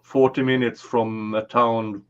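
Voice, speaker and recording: A man's voice: medium pitch, little pitch movement, measured pace, clear, resonant tone. A Swedish man with a Swedish accent hosting a casual livestream chat, talking hesitantly with many fillers and restarts. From Sweden. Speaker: livestream host. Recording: slightly dull lo-fi audio.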